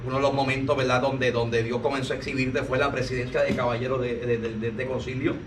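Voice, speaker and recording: A man's voice: mid-range voice, little pitch movement, fast. Male interviewer, prompting and echoing, reverberant room, faint noise. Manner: echoes the guest's answers, prompting. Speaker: interviewer, fellow minister. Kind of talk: conversational interview. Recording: roomy, distant mic with faint noise.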